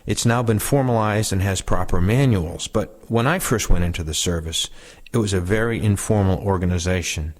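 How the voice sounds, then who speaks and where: A man's voice: deep voice, normal speed. A man reading first-person war recollections aloud as a reader of oral histories.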